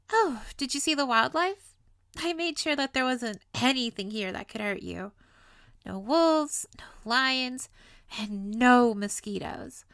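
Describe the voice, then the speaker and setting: Woman acting a high-voiced, sweet, lovestruck yandere witch. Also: gravelly, a bit bright, no echo, clean studio sound, heavily acted. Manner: cutesy, gushing, flustered stammers, playful asides. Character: sweet, clingy, obsessively lovestruck young female witch. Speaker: audio roleplay voice actor. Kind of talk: intimate comedic roleplay acting.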